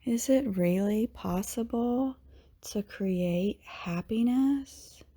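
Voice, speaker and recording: Woman doing guided meditation, super slow and mellow, soothing, lots of pauses, hushed at the ends of phrases. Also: a little high, husky. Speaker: yoga teacher, meditation guide.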